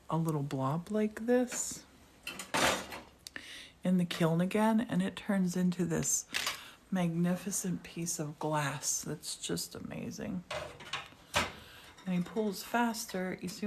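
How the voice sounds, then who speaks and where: A woman's voice: fairly low pitch, takes it slowly, background noise. A woman hosting a live demonstration in a glass studio, chatting casually and with enthusiasm. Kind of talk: casual live-stream chat and commentary.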